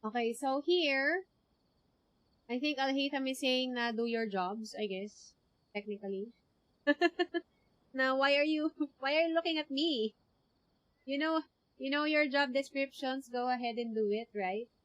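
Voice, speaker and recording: A woman's voice: high voice, unhurried, pauses often, fairly bright tone, lo-fi sound. A woman with a Filipino accent chatting casually as she comments on a live game playthrough. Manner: code-switching between English and Tagalog. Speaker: game streamer.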